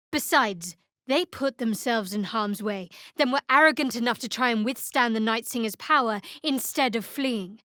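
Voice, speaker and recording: Casting: young female dark cleric for a fantasy game. Fairly high voice, dry studio sound, guarded, measured, sardonic. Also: no echo. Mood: cold. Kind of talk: fantasy video game voice acting.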